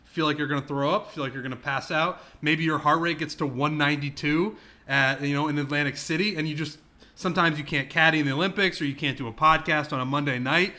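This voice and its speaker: A man's voice: a little high, fast talker, pushed and bright. A man co-hosting a golf podcast, telling wry self-deprecating stories. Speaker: podcast co-host. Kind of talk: casual chat.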